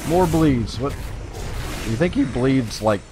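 A man's voice: mid-range voice, lively intonation, slow, over music. A man giving casual, playful gameplay commentary, talking to himself and to the game as he plays. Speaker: gaming video creator. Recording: game combat noise behind. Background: video game sound effects.

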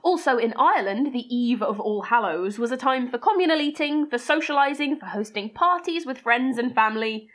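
A woman's voice: on the high side, lively intonation, fairly quick, hardly any pauses, fairly bright tone. A British woman co-hosting a folklore podcast, mixing scripted narration with dry, playful banter. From Britain. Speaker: podcast co-host.

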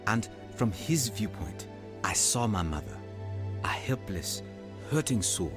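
An actor playing an earnest, self-doubting African evangelist nearing forty, telling his testimony in an audio drama in a measured, confessional way. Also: on the low side, very animated, music in the background. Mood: pained, confessional. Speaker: narrator and lead character in an audio drama.

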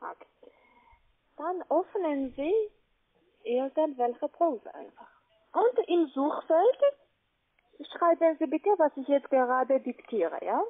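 A woman's voice: high voice, animated, slow pace, pauses often, phone-quality sound. A woman with a foreign accent in German, a call-center agent giving insistent step-by-step instructions over the phone. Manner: insistent, repeatedly checking with tag questions.

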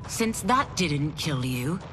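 A woman's voice: deep voice, big pitch swings, slow, exaggerated voice. An actress playing a stern, resolute young swordswoman in a video game, with formal delivery. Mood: stern, determined. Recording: loud music behind.

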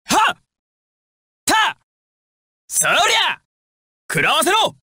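Casting: intense young male mobster, video game. Husky, highly animated delivery, theatrical and volatile, recorded dry. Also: very slow, no room sound, heavily acted. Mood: battle cries. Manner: dramatic, swinging from controlled analysis to urgent shouting. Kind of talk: dramatic Japanese game dialogue and battle clips.

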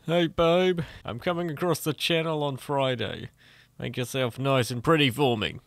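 Patronising, smug cartoon French boyfriend, acted by a man; mid-pitched with some fry, bossy. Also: moderate pace, dry recording, close to an everyday voice. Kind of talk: comic dub character acting.

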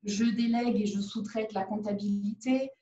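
A woman in her forties, an independent perfumer, talking on a video call from home, halting with many fillers and restarts. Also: medium pitch, fairly even intonation, warm tone. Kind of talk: conference video call interview. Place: living room at home. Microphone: laptop webcam on a video call. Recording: roomy, dull video-call audio.

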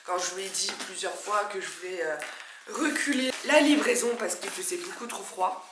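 A young woman in her twenties with a stuffy nose from a cold, chatting excitedly and poking fun at herself while she unboxes a plant in her living room. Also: mid-range voice, moderate pace, roomy sound, heavy background noise. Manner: chatty, excitable, self-mocking. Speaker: plant vlogger. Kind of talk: casual unboxing vlog.

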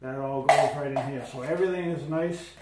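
A man's voice: mid-range voice, heavy background noise. Man, 50s, rambling gear talk, echoey and distant from the camera. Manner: rambling, halting with many fillers and restarts. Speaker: hiker showing gear. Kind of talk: casual gear walkthrough. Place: sunny living room with big windows. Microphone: camera across the room. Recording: roomy, from far off.

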